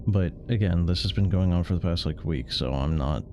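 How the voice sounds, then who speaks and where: A man's voice: low voice, brisk, background noise. A man doing casual game stream commentary in a rambling, sardonic, sweary way. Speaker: livestreamer.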